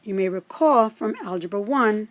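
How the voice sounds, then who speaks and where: A woman teaching math step by step, speaking in a deliberate, instructive way with pauses between phrases. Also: medium pitch, animated. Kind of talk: scripted step-by-step instructional narration.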